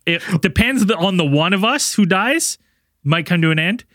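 A man's voice: high-pitched, animated, normal speed, a bit bright, no echo, clean studio sound. A man co-hosting a podcast, talking in a loose, rambling way and laughing often while he announces giveaways and tells stories. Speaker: podcast co-host. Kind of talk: casual chat.

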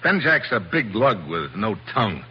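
Low-voiced, wry secret agent. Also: male voice, very animated, moderate pace, breathy edge, exaggerated voice. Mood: sardonic, guarded. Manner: casual, sardonic, slangy. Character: wry, tough male special agent hero. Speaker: lead actor of adventure series. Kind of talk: 1940s radio acting. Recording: muffled, noisy 1940s radio recording.

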